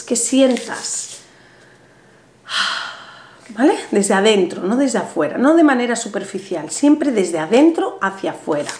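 A woman in her thirties with a Spain Spanish accent, seated at a table, explaining a ritual step by step in a chatty, animated way. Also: fairly high pitch, a little hiss or hum. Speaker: ritual instructor. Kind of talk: step-by-step ritual tutorial to camera. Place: small bright room indoors, seated at table. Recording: roomy echoey room sound.

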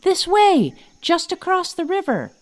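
Storybook monkey, young male, played by a woman: high, excitable, wheedling, very animated. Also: a little slow, heavily acted. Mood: excited. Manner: exclamatory, eager, wheedling. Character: mischievous, excitable little boy monkey, eager and pleading. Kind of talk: storybook character voice.

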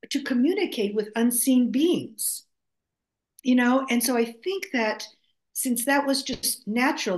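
Older woman, Greek first language, slow pace, reflective storytelling, hesitant restarts, video call. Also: on the high side, rough recording. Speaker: author guest. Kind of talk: conversational storytelling. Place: home room, video call.

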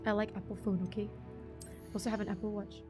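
A young woman with a husky voice, chatting casually with viewers on a game stream, rambling and restarting her sentences. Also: mid-range voice, flat delivery, fast, over music. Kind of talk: casual live-stream chat reading viewer comments.

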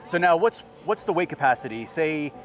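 A man in his thirties with a moderately high-pitched voice acts as interviewer. His delivery is casual and conversational, recorded outdoors on a clip-on mic. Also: animated, a little fast, a little hiss or hum. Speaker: interviewer, video host. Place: outdoors at a busy expo ground. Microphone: wireless clip-on mic.

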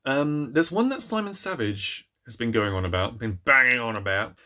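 A man's voice: mid-range voice, lively intonation, normal speed, bright, forceful tone. A young adult man chatting casually to camera as a book reviewer, in a hesitant, self-deprecating way. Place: small room at home, indoors.

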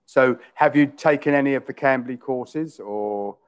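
A man's voice: mid-range voice, a little slow, clean tone. A middle-aged man from England with a London accent, tutoring English over a video call in a chatty way, often repeating and rephrasing his questions. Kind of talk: casual video-call conversation. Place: small room indoors. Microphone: headset.

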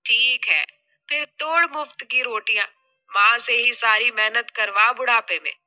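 A scheming, money-minded middle-aged mother played by a woman from an audio drama. She scolds and nags with exasperation in a high, forceful, very bright voice. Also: measured pace, faint music behind. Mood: nagging.

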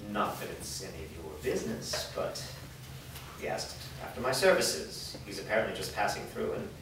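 An actor playing an old village blacksmith in a staged fantasy reading, plainspoken, guarded and defiant. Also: male voice, deep voice, animated, normal speed. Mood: guarded, irritated. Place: indoor performance room before a small audience. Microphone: far camera. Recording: echoey hall, distant mic, noisy.

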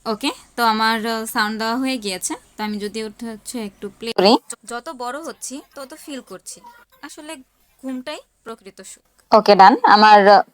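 A woman presenting a casual step-by-step app tutorial, explaining each action haltingly as she does it. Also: a little high, clean tone, dry recording.

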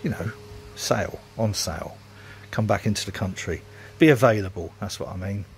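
A man's voice: lowish voice, moderate pace, vocal fry, a little hiss or hum. A man, rambling casually and self-mockingly while filming his home brewery workshop on a handheld phone.

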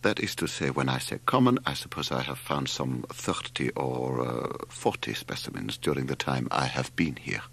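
A man voicing a hesitant, deep-voiced learned naturalist. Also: lively intonation, creaky, fairly bright tone, a little hiss or hum, heavily acted. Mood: thoughtful, tentative. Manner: slow, meticulous, hesitant, with ums and pauses. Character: shy, learned bearded male naturalist, grave and kindly.

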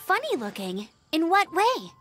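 A woman playing an eager, dutiful young scout knight in a video game, brisk and earnest. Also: very high-pitched, wildly swooping pitch, fairly bright tone, soft background music, heavily acted. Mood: questioning.

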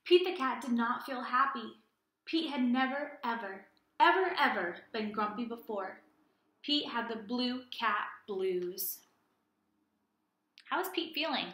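A young woman reading a picture book aloud like a teacher, animated and asking children simple questions. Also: fairly high pitch, unhurried, echoey room. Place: small indoor room with plain wall.

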